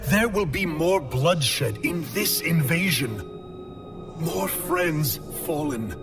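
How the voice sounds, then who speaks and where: An actor playing a solemn, burdened alien leader in a game cinematic, speaking in a grave, formal manner with a processed telepathic effect. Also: male voice, on the high side, exaggerated voice. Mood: somber doubt. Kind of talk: dramatic video game cinematic acting. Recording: loud cinematic score behind voice.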